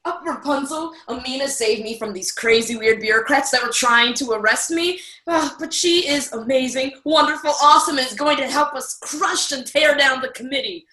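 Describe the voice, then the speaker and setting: A woman's voice: high voice, normal speed, hardly any pauses, bright, forceful tone. A woman playing a brash, eager young fairy-tale heroine in a radio play, cheeky and enthusiastic, in an echoey room. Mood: excited.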